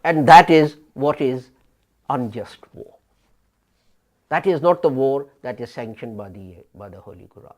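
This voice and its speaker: Middle-aged imam, slow measured sermon voice, builds emphasis then drops off. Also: male voice, medium pitch, expressive. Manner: deliberate, slow preaching with rising emphasis and pauses. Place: small studio before a printed backdrop. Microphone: fixed camera, head and shoulders framing.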